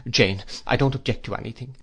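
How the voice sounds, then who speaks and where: A man's voice: medium pitch, brisk, fairly bright tone, breathy edge. A man voicing a timid, tearful young wife who pleads plaintively and falters, in a classic novel reading. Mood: meek, apologetic. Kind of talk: classic novel read aloud.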